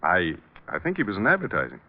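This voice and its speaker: An actor playing a polite, slightly hesitant lodge owner in an old-time radio drama. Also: male voice, low-pitched, wildly swooping pitch, fast talker, heavily acted. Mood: guarded, affable. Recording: dull vintage radio broadcast recording.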